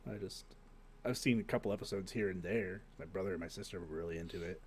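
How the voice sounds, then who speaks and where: A man's voice: deep voice, fast, a little mellow, slight background noise. A man co-hosting a podcast in casual group chat, speaking informally with some swearing. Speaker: podcast co-host.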